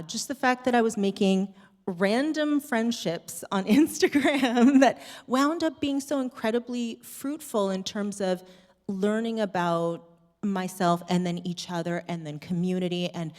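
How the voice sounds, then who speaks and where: A woman's voice: medium pitch, darkish tone. Woman, live panel guest, candid storyteller, informal and keen, big-room reverb. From Canada. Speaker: series host on a Q&A panel. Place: theatre stage at a live screening Q&A. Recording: reverberant hall, stage microphone.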